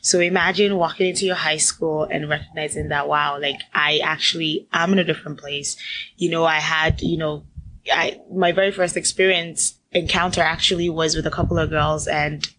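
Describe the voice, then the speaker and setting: Ghanaian-born woman, lively storyteller. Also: low-pitched, normal speed, a little hiss or hum, rough recording. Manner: animated storytelling with quoted voices. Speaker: podcast guest, entrepreneur. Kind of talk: conversational interview.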